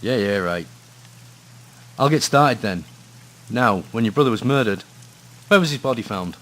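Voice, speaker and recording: A man's voice: mid-range voice, expressive, moderate pace, fairly bright tone, lightly acted. A man with a Scottish accent playing a weary, dry private investigator in a noir radio drama, hesitant and understated. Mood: reluctant, gentle. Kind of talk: noir radio drama, dialogue and brooding first-person narration.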